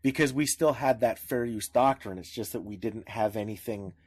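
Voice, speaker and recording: A man with a Canadian accent in a casual podcast discussion, speaking haltingly with repeated words and restarts. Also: lowish voice, husky, no echo. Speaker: podcast co-host. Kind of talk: casual discussion of media law.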